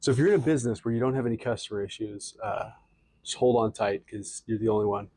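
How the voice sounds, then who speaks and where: A man's voice: fairly low pitch, fast, mellow, slightly rough, no echo. A man in his late thirties co-hosting a podcast, joking and teasing in casual chat, laughing often. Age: 30s. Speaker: podcast co-host.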